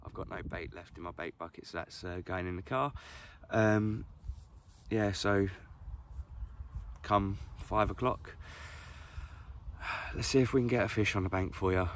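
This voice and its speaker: A man's voice: low voice, steady tone, measured pace, long pauses, slight background noise. A man in his forties with an English accent, chatting casually to camera by a lake, with lots of ums and restarts. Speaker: fishing vlogger. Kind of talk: casual outdoor vlog. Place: outdoors by a lake. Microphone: camera on a stand, sitting close.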